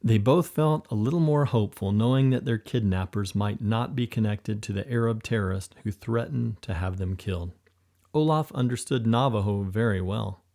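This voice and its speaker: A man narrating an adventure audiobook in a steady, gentle, measured reading. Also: on the low side. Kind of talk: scripted storybook narration.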